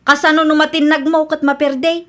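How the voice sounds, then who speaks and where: A woman's voice: high voice, little pitch movement, moderate pace, natural voice. A woman playing a proud, fretful married woman in a comedy radio drama, with an Ilocano accent. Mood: defensive.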